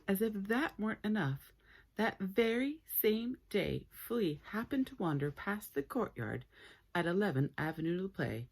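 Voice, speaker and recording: Low-voiced woman reading a children's story. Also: breathy edge. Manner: expressive, playful, with dramatic pauses. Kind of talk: read-aloud story time for children.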